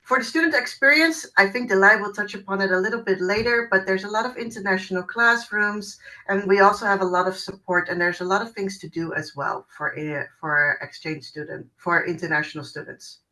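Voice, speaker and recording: A woman's voice: medium pitch, brisk, few pauses, bright, forceful tone, poor audio. A woman working as a university recruiter, giving an informal presentation in an online webinar. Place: online video call.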